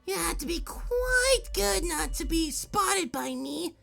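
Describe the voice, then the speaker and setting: A man's voice: very high voice, big pitch swings, slow, creaky, sharp tone, voice pushed up, exaggerated voice. A man voicing a sly, sarcastic villainous pony with a mocking, theatrical delivery.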